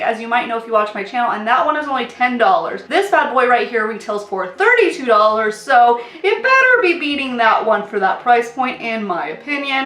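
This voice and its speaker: A woman's voice: fairly high pitch, fairly bright tone. A young woman reviewing makeup in a casual, upbeat vlog, sounding nasal and a little sick. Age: young adult. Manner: chatty, upbeat, sing-song catchphrases. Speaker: beauty vlogger. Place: small indoor filming space. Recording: echoey room.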